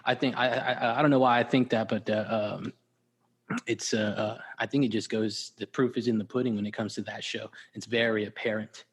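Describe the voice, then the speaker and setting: Rambling podcast host. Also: male voice, on the low side, flat delivery, brisk, breathy edge. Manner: rambling, many fillers and repeated "for sure". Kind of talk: casual interview chat.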